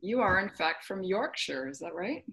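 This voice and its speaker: Female podcast host, lowish voice, chatty and eager, quick laugh. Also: expressive, a bit bright. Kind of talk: casual interview chat.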